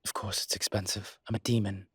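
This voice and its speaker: A man playing a suave ancient demon professor in an intimate roleplay audio drama, with a husky, breathy low voice and a dry, teasing, urbane manner. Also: level tone, brisk, a bit bright, no echo. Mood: smug, casual.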